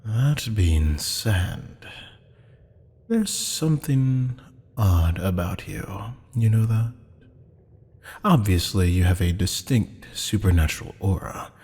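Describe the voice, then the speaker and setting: A man's voice: lowish voice, lively intonation, slow, darkish tone, husky, full character voice. A man playing a teasing, flirtatious, menacing demon captor in an ASMR roleplay, purring pet names and slipping into half-whispers. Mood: puzzled, intrigued. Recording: long hall-like reverb.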